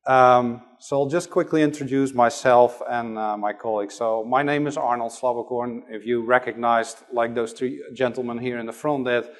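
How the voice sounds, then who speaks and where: Man, Dutch accent, Dutch native, middle-aged, conference presenter, hesitant, monotone. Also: medium pitch, moderate pace, hardly any pauses. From Netherlands. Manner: hesitant, many fillers. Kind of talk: conference talk.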